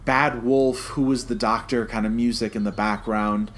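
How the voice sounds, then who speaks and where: A man with a mid-pitched voice co-hosts a review podcast. He argues his opinions emphatically and repeats phrases for stress. Some noise sits in the recording. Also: steady tone, normal speed. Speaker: podcast co-host.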